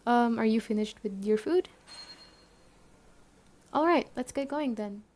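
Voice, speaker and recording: Actress as apocalypse camp leader: young woman, higher-pitched yet mellow, matter-of-fact, welcoming, conversational roleplay audio. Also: natural voice. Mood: firm, inviting. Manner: conversational, hesitant pauses, explanatory. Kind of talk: roleplay ASMR audio drama, speaking to the listener.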